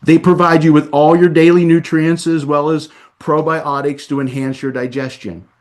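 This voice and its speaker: Man reading a measured sales pitch. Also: level tone, a little mellow. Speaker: doctor presenting a health program. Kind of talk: scripted promotional presentation.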